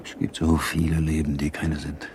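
Very deep-voiced, brooding Prussian baron. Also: male voice, completely flat delivery, normal speed, background noise. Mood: anguished, brooding. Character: brooding, rigidly self-controlled Prussian baron and betrayed husband. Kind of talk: German radio drama acting.

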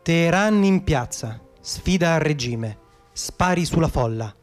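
An actor playing a mock-earnest co-announcer on a satirical army radio programme, reading scripted items in a formal, emphatic style. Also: male voice, a little high, animated, unhurried, faint music behind. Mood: brisk bulletin. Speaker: radio co-presenter.

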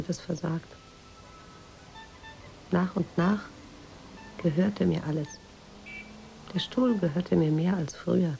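Gravelly, introspective woman writer. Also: lowish voice, warm tone, slight background noise, poor audio. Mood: pensive, confiding. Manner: measured, confiding, literary delivery. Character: introspective American woman writer confiding memories, quietly troubled. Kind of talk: radio drama monologue.

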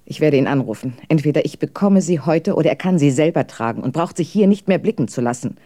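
A woman's voice: deep voice, lively intonation, fast talker, dark tone. An actress playing an imperious, irritable and demanding lady of the house in a radio drama, with a commanding, snappish manner. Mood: indignant. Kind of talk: German radio drama acting.

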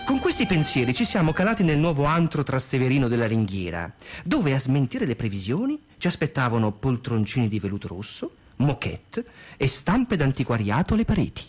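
A man's voice: medium pitch, very animated, brisk. A man reading scripted radio theatre narration in a declamatory, literary way. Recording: music bed behind the voice.

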